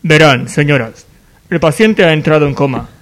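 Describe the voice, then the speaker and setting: Actor como médico formal de voz aguda. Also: male voice, on the high side, expressive, a bit bright. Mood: solemn. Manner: formal, measured. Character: formal, grave hospital doctor giving news. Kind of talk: radionovela acting.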